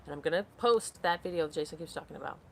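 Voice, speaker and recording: A woman's voice: sits low, racing, creaky, a little hiss or hum. A woman in her late thirties hosting a casual live stream, answering viewer comments aloud in a rambling way. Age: 30s. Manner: rambling, reads comments aloud and loses her train of thought.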